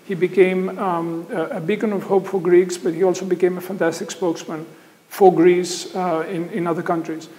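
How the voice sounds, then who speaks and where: Male tribute speaker, high and monotone, steady praise, reverberant room. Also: moderate pace. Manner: steady, praising, some hesitations. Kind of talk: tribute interview.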